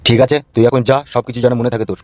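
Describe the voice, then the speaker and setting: A man's voice: medium pitch, level tone, very fast, dull audio, music in the background, close to an everyday voice. A man voicing a stern police officer who scolds and lectures in a cartoon dub. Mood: scolding. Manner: scolding, bossy.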